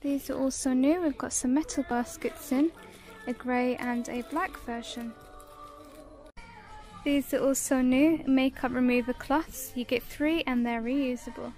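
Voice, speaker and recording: High-pitched British woman, unhurried shopping vlog. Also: steady tone, a little mellow, soft background music. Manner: short descriptive phrases, listing items. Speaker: shopping vlogger. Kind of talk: casual shop-with-me product showcase narration.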